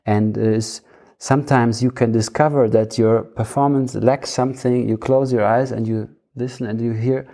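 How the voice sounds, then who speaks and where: A man talks reflectively in a relaxed, dark timbre with plenty of vocal fry. He searches for his words and often repeats short phrases as he thinks. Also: mid-range voice, normal speed. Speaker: theatre practitioner in conversation. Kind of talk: casual reflective discussion.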